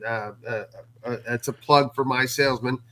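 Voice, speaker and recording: A man speaking as guest co-host and fence contractor in a casual recap chat, with a halting delivery that repeats words. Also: medium pitch, steady tone, a bit bright.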